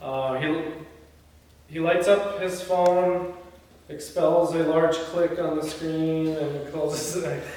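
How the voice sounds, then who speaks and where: Higher-voiced man in a very echoey room. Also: fairly even intonation, slow, a little mellow. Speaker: table read narrator reading stage directions. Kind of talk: reading screenplay aloud with informal asides. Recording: very echoey room, distant mic, faint noise.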